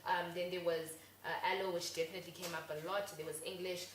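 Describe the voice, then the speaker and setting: A woman's voice: fairly low pitch, quick pace. Chatty South African young woman co-host. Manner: lively, chatty, agreeable. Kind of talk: casual chat. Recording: echoey room with faint noise.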